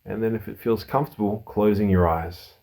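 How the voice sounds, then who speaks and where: Low, mellow man guiding a meditation. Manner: calm, soothing, unhurried instruction with pauses. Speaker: mindfulness teacher leading a guided meditation. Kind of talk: guided meditation.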